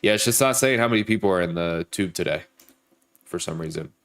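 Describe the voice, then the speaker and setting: A man's voice: sits low, fairly quick, fairly bright tone. A young man with a sore throat and a stuffed-up, nasal voice, chatting casually with viewers on a trading livestream. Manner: greets viewers by name, hypes likes. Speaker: livestream trader. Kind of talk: casual live-stream chat.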